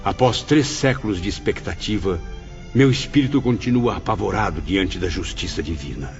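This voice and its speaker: A man's voice: low-pitched, moderate pace, soft background music. Solemn, penitent man's spirit played by an actor, muffled. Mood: anxious, humble. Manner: solemn, formal, heartfelt. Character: penitent male spirit, humble, fearful, preparing for rebirth. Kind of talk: audio drama acting.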